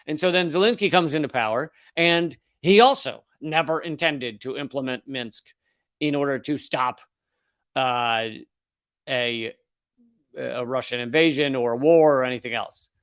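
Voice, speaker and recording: A man's voice: mid-range voice, a little slow, a bit bright, smooth, no echo, clean studio sound. A man hosting a political comedy show, delivering sardonic, profane commentary with mocking put-on voices.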